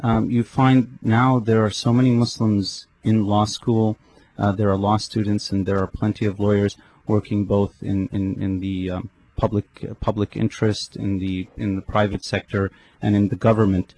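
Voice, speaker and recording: A man's voice: fairly low pitch, level tone, normal speed, a little mellow, rough recording. A man, hosting a radio talk show and speaking hesitantly with many fillers and restarts. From United States. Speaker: host.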